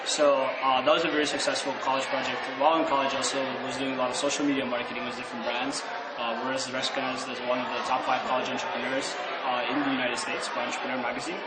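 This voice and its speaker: A man's voice: mid-range voice, roomy sound, heavy background noise. Eager young man from Russia. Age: young adult. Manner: energetic, enthusiastic storytelling. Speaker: interviewee, young entrepreneur. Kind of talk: casual interview answers.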